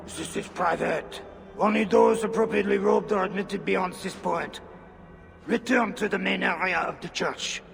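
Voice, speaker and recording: A medieval church man in a video game drama. The voice sits high for a man and crackles with fry, over faint music. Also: moderate pace, a bit bright, exaggerated voice. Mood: stern. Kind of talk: video game cutscene acting.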